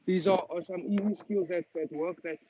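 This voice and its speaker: A man's voice: high-pitched, steady tone, brisk, warm tone, slight background noise. A young man in his early twenties with a Cape Town South African accent, answering interview questions casually and earnestly as a footballer. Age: early 20s. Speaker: professional footballer being interviewed. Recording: dull lo-fi sound.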